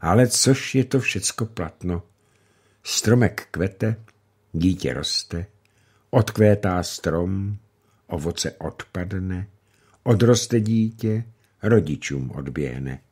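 A man reading the part of a gentle, wise old grandmother in an audiobook, speaking slowly and softly with a husky voice. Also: low voice, expressive, heavily acted. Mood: calm, tender. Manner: slow, soft-spoken, unhurried.

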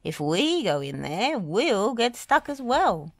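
A woman voicing a jolly, rumbling male steamroller in a children's story. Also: sing-song, slow pace, no room sound, studio quality, heavily acted. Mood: doubtful. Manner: chuckly, rumbling.

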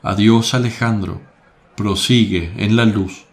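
A man playing a calm, grave religious teacher in a radio drama, speaking in a slow, solemn and measured way. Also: lowish voice. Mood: gentle.

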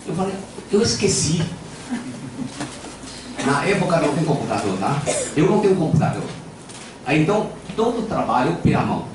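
Older guy w japanese accent lecturing, pitch jumps around a lot, big echoey hall, mic heard from far off. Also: very animated, normal speed, long pauses. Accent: Japanese-accented Portuguese. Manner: playful, self-deprecating storyteller. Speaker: acupuncture lecturer. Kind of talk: informal lecture with anecdotes. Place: large lecture hall with audience. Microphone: handheld microphone. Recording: echoey hall, camera at a distance, background noise.

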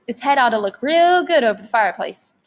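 Woman reading as an excitable, blustery storybook father, pitched high. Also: a little fast, soft background music, lightly acted. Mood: proud, joking. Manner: emphatic, blustery. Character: excitable, proud but kindly immigrant father.

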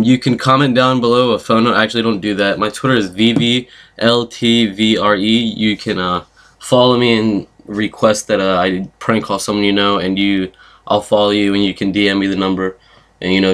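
A man chatting casually as a prank caller and host, in a hesitant, halting way. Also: fairly low pitch, level tone, a bit bright. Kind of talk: casual chat.

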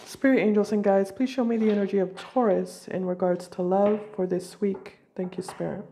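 A woman reading tarot cards for her viewers while fighting a cold, speaking slowly and deliberately at her table at home. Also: mid-range voice, fairly even intonation, background noise. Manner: slow, deliberate, intuitive. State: has a cold. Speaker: tarot reader.

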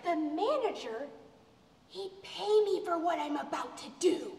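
In a reverberant hall, an actress plays an exasperated woman who defiantly loves her fatty food. Her voice is very high and bright. She is melodramatic and sarcastic, leaning hard on her words in a comic stage sketch. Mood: menacing, sarcastic. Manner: melodramatic, sarcastic, drawn-out emphatic delivery. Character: exasperated, gluttonous woman, gleefully defiant of her diet-lecturing friend. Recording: echoey hall with long reverb.

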